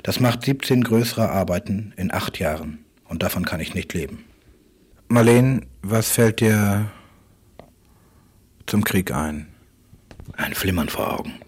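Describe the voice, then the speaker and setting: A man with a husky, breathy voice delivers a literary radio monologue in stark, clipped phrases, often repeating himself. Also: deep voice, expressive, measured pace, pauses often. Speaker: writer.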